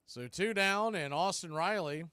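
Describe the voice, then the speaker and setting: A radio announcer calls a baseball game pitch by pitch, brisk and conversational as he keeps up with the count. His voice sits a touch high for a man. The sound is dry and close, with no room around it. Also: bright, forceful tone, clean tone, dry recording. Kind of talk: live baseball play-by-play commentary.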